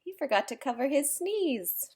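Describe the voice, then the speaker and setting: Woman storyteller, high voice, animated pitch, children's read-aloud, sympathetic asides. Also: normal speed, vocal fry. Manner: sympathetic asides about the characters. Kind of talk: children's picture book read-aloud with commentary.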